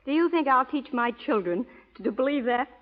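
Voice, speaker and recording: An actress playing a strong-willed, proper young frontier schoolteacher in an earnest old radio melodrama style. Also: female voice, sits high, expressive, brisk. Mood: bitter, resolute. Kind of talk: 1930s radio melodrama acting. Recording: dull old radio broadcast recording.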